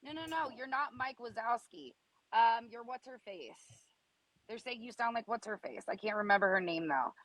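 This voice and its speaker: A woman in her thirties with a raspy voice, asking probing, somewhat hesitant questions in a live video chat. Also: on the high side, moderate pace, fairly bright tone, poor audio. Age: 30s.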